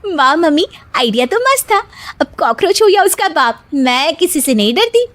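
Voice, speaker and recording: A woman voicing a young daughter-in-law in a cartoon, shrill and whiny, easily frightened. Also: sing-song, moderate pace, a bit bright. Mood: triumphant. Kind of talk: cartoon voice acting.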